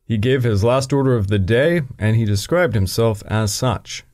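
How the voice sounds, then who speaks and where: Brief: male history podcast narrator, animated delivery, dry humor in asides. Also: mid-range voice, normal speed. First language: English. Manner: dry asides breaking into the reading.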